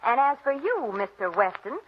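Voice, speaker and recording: A woman's voice: on the high side, moderate pace, husky. A woman playing a spirited, chatty young lady who is pert and easily indignant, in old-time radio drama style. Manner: pert, excitable, interrupting. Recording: muffled, slightly noisy old radio recording.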